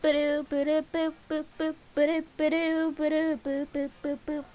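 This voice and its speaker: A woman's voice: sits high, monotone, normal speed, a little mellow. A woman livestreamer chatting casually and playfully to herself, slipping into sing-song babble. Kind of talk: casual livestream chat while setting up games. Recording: clear background hiss.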